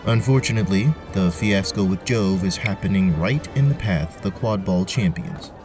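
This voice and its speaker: Low-voiced man narrating fantasy. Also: normal speed, music in the background. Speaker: narrator, game master. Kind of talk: scripted fantasy story narration.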